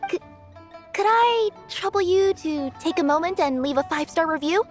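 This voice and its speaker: A woman's voice: very high-pitched, expressive, normal speed. A woman voicing an eager, polite young cat-spirit courier with a perky manner in a video game. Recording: music playing behind the voice.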